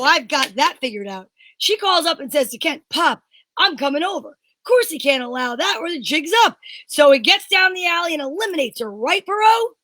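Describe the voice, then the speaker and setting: Old-time radio heroine female amateur performer: wisecracking, keen would-be secretary, sharp high voice, gushing and slangy. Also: exaggerated voice.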